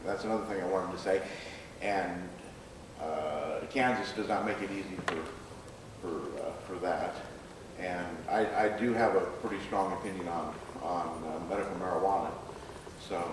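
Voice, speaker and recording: A man speaking as a screenwriter in a live question-and-answer session, with a halting, hesitant delivery. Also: deep voice. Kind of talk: live Q&A after a staged reading. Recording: echoey room, distant mic, faint noise.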